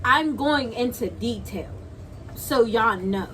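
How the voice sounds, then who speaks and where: A young adult woman in casual storytime chat, slangy and halting, repeating phrases for emphasis. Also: on the high side, expressive, a bit bright. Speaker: video creator, guest co-storyteller. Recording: clearly noisy home video recording.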